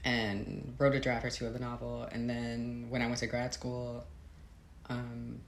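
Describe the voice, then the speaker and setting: An American woman from the Midwest being interviewed as an author, explaining conversationally with hesitant pauses and hedges. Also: extremely low pitch, monotone. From Midwestern United States. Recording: roomy echo, faint background noise.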